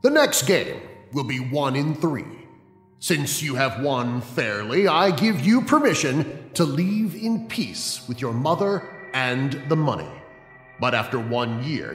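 In a vast echoing hall, an imperious king. He proclaims formally and slowly, swinging widely in pitch, in a dark audio drama. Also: full character voice. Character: imperious male king granting cruel games. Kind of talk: audio horror drama acting. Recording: echoey hall with long reverb.

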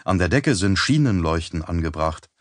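Man, audiobook narrator, low voice, very dry close studio sound, measured delivery. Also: dry recording. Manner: measured, even storytelling. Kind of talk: scripted narration. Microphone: close studio mic.

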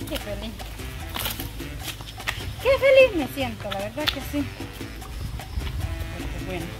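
Actriz como lavandera humilde, agradecida, voz aguda. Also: female voice, fairly high pitch, close to an everyday voice. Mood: relieved, grateful. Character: poor, grateful washerwoman mother, hardworking and hopeful. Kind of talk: rambling monologue while working. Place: outdoors at a rocky stream. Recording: outdoor recording by running water, music.

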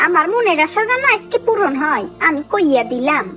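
Demanding cartoon fisherman's wife, actress: very high pitch, rural Bangladeshi accent, insistent scolding. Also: sing-song, normal speed, bright, forceful tone, soft background music, exaggerated voice. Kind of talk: cartoon voice acting.

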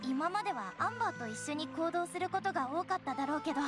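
A woman's voice: very high voice, animated, fast talker, loud music behind the voice. A woman voicing an earnest young female forest ranger in an anime game, speaking in plain, boyish phrasing. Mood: earnest, eager.

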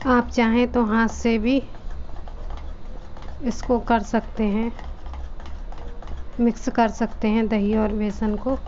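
A woman with a fairly high voice talks viewers through a recipe step by step, keeping her tone level and calm. She speaks from her home kitchen into a phone, and the sound is muffled and lo-fi. A faint room hum sits behind her. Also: takes it slowly, long pauses. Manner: addresses viewers as friends, calm explaining. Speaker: home cooking channel host. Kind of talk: step-by-step cooking instructions.

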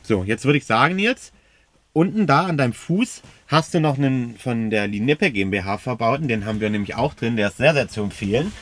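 A man's voice: mid-range voice, lively intonation, brisk, fairly bright tone. A man co-hosting a camping vlog, chatting casually and enthusiastically from inside a camper van. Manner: enthusiastic, slangy, talks straight to viewers. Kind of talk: casual chat.

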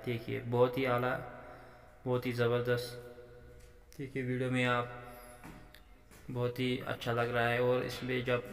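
An echoing, reverberant recording carries a man with a Kashmiri accent. His pitch barely moves as he narrates informally like a farmer showing his work. He explains in a halting, instructive way and often repeats himself. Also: monotone. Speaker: sheep farmer and channel host. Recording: echoey, reverberant room sound.

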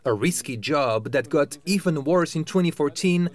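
Measured male news voice-over. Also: on the high side, animated. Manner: measured, clear delivery. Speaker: correspondent. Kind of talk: scripted news narration.

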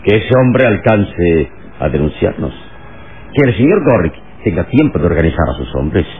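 An actor playing a noble, commanding hero in a solemn, declamatory radio drama style. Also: male voice, low voice, big pitch swings, normal speed. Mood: resolute, confident. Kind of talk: Mexican radionovela acting. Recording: tinny old radio recording with hiss.

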